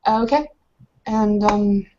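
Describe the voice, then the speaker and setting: A woman casually narrating a tabletop roleplaying game in an informal, halting way, pausing to think. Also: medium pitch, darkish tone. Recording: dull lo-fi voice recording.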